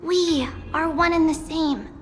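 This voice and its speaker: An extremely high, childlike little girl's voice, playing a solemn high priest in a video game. She speaks formally and earnestly, with faint music behind her. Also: slow.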